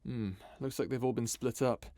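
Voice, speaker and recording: A man's voice: medium pitch, fairly even intonation, normal speed, mellow, lightly acted. Man acting as cocky space pirate captain, music behind. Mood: confident, sneaky. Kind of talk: ASMR roleplay audio drama acting. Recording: music under the voice.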